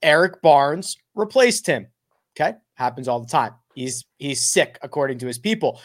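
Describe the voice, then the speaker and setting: A man hosting a casual sports podcast, animated and jokey. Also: medium pitch, normal speed. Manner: animated, jokey, some restarts. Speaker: podcast host.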